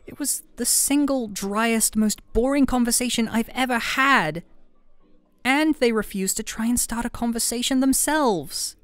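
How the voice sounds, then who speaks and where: A woman's voice: fairly high pitch, unhurried, smooth, dry recording, natural voice. A woman playing a playful, affectionate young best friend in an ASMR roleplay, chatting casually and teasing her listener. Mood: chatty, exasperated. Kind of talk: ASMR roleplay audio, conversational acting. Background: soft background music.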